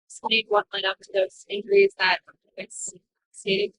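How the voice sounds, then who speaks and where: A woman's voice: mid-range voice, level tone, measured pace, fairly bright tone, poor audio. A woman working as a reporter, putting questions at a press conference.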